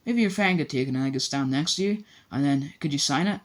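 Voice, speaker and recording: A man's voice: a little high, fast talker, lightly acted. A man reading an audiobook, voicing a small, eager schoolboy who talks breathlessly and in a rush. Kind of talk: audiobook character voice.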